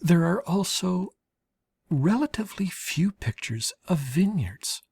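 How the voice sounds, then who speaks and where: A woman with a husky, breathy voice narrates literary letters as an audiobook reader, in a measured, expressive way with deliberate pauses. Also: fairly low pitch, no room sound, studio quality.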